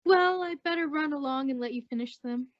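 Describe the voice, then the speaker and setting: A woman's voice: sits high, brisk, close to an everyday voice. A woman playing a wry, chummy, gossipy friend in an old-time radio sitcom, with a breezy, teasing manner. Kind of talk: 1940s radio sitcom acting. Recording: muffled old-time radio style recording.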